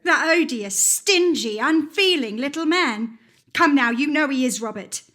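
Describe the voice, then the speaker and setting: A doting working-class mother with a high, forceful voice. She fusses fondly and scolds with a sharp tongue, in radio-play style. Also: animated, unhurried, exaggerated voice. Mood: indignant. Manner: affectionate, scolding. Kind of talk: stage radio-play acting.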